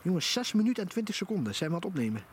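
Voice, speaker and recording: Guy vlogging outside in the woods, high voice, really into it, noisy camera audio. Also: sing-song, racing. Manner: enthusiastic. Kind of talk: casual outdoor vlog commentary. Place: outdoors in a forest at night by a campfire.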